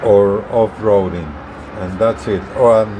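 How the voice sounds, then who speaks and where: A man giving a casual product demonstration as a video presenter, talking haltingly and repeating words as he explains. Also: on the low side, fairly even intonation, background noise. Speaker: hobbyist video presenter, truck driver.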